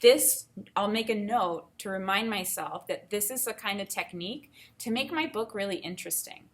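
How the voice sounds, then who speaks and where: A woman teacher giving an expressive read-aloud for children, with enthusiastic explanatory asides. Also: medium pitch, moderate pace, slight background noise. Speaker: teacher reading a picture book aloud.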